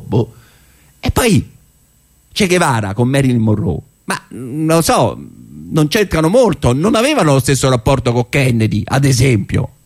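A man performing a theatrical narrative monologue in a conversational, ironic manner with rhetorical pauses. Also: mid-range voice, darkish tone. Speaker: stage actor and monologist.

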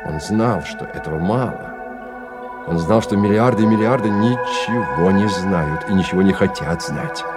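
An actor narrating a bleak science-fiction radio play as a grave, measured, dramatic literary storyteller. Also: male voice, low-pitched, over loud music, lightly acted. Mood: somber.

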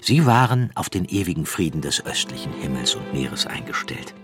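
Deep-voiced male radio play narrator. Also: very animated, normal speed, music in the background.

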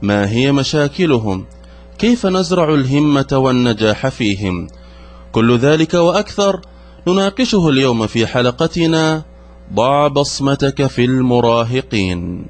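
A man giving a solemn, measured scripted narration for a religious show's introduction. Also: mid-range voice, big pitch swings.

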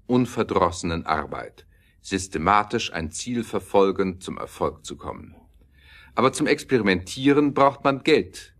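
A man narrating a scripted audio drama in a measured, emphatic storytelling manner. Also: lowish voice, fairly bright tone.

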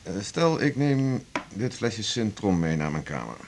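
Lowish-voiced examiner doc, brisk, matter of fact. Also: male voice, big pitch swings, a little hiss or hum. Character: male examining doctor, dry and businesslike. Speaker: examining doctor.

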